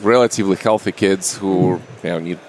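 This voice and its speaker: Deep-voiced man with a Russian accent. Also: fairly quick, darkish tone, a little hiss or hum, poor audio. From Russia, Saint Petersburg. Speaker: interview guest, anesthesiologist. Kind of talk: casual conversational interview.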